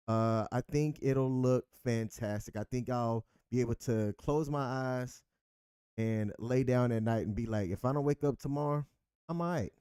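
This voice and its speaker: Easygoing man in his thirties, smooth mellow voice. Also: medium pitch, no room sound, studio quality. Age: 30s. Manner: easygoing, laughs at himself. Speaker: co-host. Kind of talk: casual couple chat.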